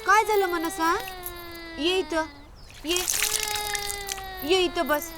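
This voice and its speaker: A woman's voice: very high voice, slow, noisy background. An actress playing a kind village mother who soothes a crying boy, speaking in a coaxing, motherly way. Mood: soothing.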